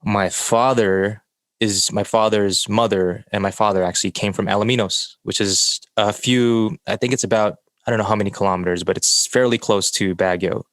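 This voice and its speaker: A man from the Philippines, a podcast host asking questions in a measured, curious way. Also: on the low side, fairly even intonation, a bit bright, no room sound. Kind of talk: casual interview.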